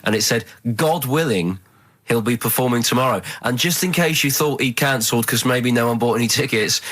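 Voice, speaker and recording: Male studio guest, medium pitch, casual radio chat and anecdotes. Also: a little fast, fairly bright tone. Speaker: studio guest standing in on the desk.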